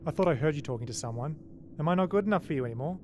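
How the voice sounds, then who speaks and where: A man's voice: on the high side, animated, very fast, noisy background, natural voice. A man playing an edgy, sarcastic spaceship pilot in an audio drama, talking in a blunt, sardonic way. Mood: suspicious, prickly.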